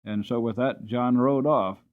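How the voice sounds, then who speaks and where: A man's voice: mid-range voice, unhurried, narrow, tinny audio. A man narrating a storybook as a dramatic scripted reading. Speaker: audiobook narrator.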